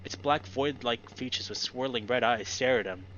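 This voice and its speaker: A man's voice: mid-range voice, normal speed, fairly bright tone. A young man hosting a let's play and reading a visual novel aloud as dramatic narration. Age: young adult. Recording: dull lo-fi audio with background noise.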